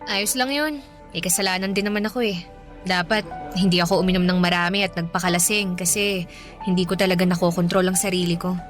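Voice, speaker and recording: Casting: actress as soft-hearted young woman, Filipino accent, gentle conversational radio drama, faint music underneath. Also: fairly low pitch, steady tone, fast, natural voice. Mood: apologetic, embarrassed. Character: apologetic, soft-hearted young woman caught between two men.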